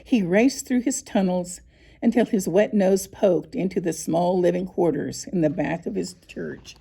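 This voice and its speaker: A woman narrating a scripted children's story, with expressive, deliberate phrasing and dramatic pauses. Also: fairly low pitch, gravelly, breathy edge. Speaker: storybook reader.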